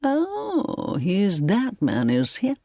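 A solid, curt captain full of short questions, acted by a woman narrator in an audiobook. The phrases are clipped, with a lively pitch and a dry sound. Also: extremely slow, dry recording, full character voice. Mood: curt, inquisitive. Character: solid, curt military captain, inquisitive man.

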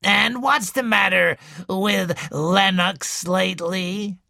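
Cruel old matriarch, slow gravelly drawl, bright edge, put on by a male narrator. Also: sits high, fairly even intonation, no room sound, studio quality, pitched above the performer's own voice, exaggerated voice. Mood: domineering. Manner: slow, savoring, menacing. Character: cruel domineering elderly woman, gloating tyrant mother.

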